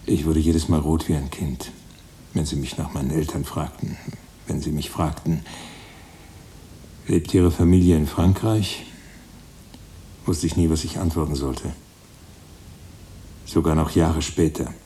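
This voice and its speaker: Brooding writer type musing out loud in a radio play, really deep warm voice, deliberate. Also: male voice, fairly even intonation, a little hiss or hum. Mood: reflective. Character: brooding male storyteller inventing his character aloud. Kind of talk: German radio play acting.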